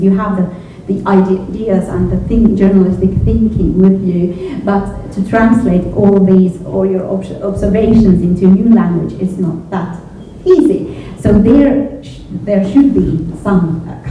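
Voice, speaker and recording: A middle-aged native Finnish woman with a Finnish accent, answering on a panel in a halting, thoughtful way and often repeating words. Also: mid-range voice, dark tone. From Finland. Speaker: panelist, journalism educator. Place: echoey indoor event room with a panel. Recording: echoey room from far off, phone-like, faint noise.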